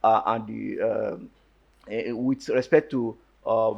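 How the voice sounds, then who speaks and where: A man speaking on a panel at a farmers' forum. He pauses often with fillers and restarts his phrases. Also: on the low side, fairly even intonation, vocal fry, highs cut off. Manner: hesitant, many fillers and restarts. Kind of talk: panel discussion answer.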